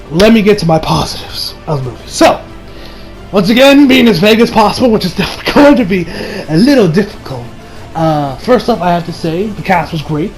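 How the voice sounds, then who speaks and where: A man's voice: high-pitched, darkish tone, rough recording. A man giving a casual, unscripted movie review in an informal, emphatic way with plenty of swearing. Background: background music.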